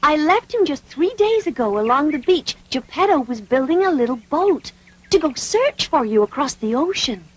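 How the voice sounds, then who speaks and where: A woman voicing a kindly, gracious female dove in a dubbed cartoon, speaking in a gentle, theatrical way. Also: sits high, very animated, moderate pace. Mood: gentle inquiry.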